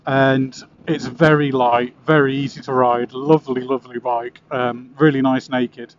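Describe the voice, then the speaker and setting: A muffled helmet-intercom recording with some road noise carries a man's fairly high voice. He talks casually and with enthusiasm, like a motorcycle reviewer out on a ride. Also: darkish tone. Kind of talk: casual chat while riding. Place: outdoors, riding a motorcycle. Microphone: helmet intercom camera mic.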